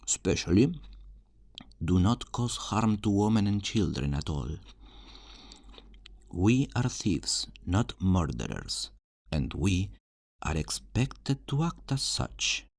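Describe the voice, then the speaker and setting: Casting: male actor as charming thief for fantasy ASMR, teasing and theatrical, unhurried, swinging delivery. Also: low-pitched, sing-song, a little mellow, no room sound, clean studio sound, heavily acted. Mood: wry instructing. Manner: flirtatious, smooth-talking, theatrical, teasing. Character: charming, roguish fantasy thief recruiting for his guild. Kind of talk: ASMR roleplay, scripted character acting.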